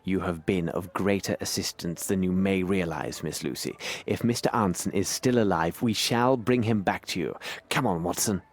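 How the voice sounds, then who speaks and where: An actor playing a shrewd English gentleman detective in a video game, with a British accent and precise, courteous, commanding speech. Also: sits low, moderate pace. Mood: urgent, commanding. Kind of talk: video game cutscene acting.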